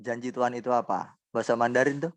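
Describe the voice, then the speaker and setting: A man's voice: mid-range voice, quick pace, dry recording. Man, preacher, casual sermon, joking, dry close sound. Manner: lively, joking, calls on members by name. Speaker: preacher leading an online service. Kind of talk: informal sermon and group chat.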